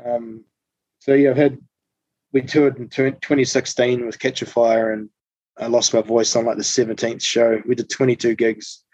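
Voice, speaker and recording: A man, a musician guest telling tour stories in casual interview chat, relaxed and full of ums and the odd swear. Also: medium pitch, normal speed, no echo. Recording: slightly dull dry recording.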